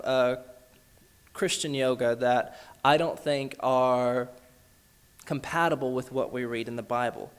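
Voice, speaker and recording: A man answering questions at a church, earnest and halting, with many fillers and restarts as he reasons through an answer. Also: medium pitch. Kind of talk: church Q&A talk.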